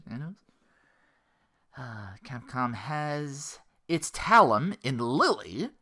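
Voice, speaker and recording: A podcast host giving casual solo commentary on video games, rambling and profane, often acting out reactions. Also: mid-range voice. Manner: rambling, animated asides, profane, acts out reactions.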